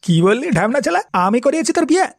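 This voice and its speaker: A man's voice: very high-pitched, very animated, very fast, vocal fry, no echo, full character voice. A man voicing an irate, foul-mouthed old village father in a comedy cartoon, scolding with coarse sarcasm. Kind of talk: Bengali comedy cartoon acting.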